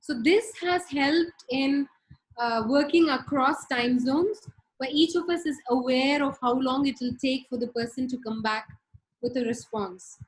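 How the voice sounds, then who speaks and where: High-voiced Indian woman guest. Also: a little slow, rough recording. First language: Tamil. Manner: thoughtful, with many fillers. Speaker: podcast guest. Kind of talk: casual interview talk.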